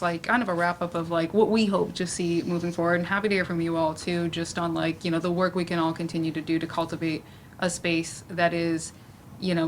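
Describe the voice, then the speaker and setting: Woman, slightly low voice, panel moderator, informal phrasing, some background room noise. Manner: informal, peppered with "like" and "you know". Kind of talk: casual panel discussion.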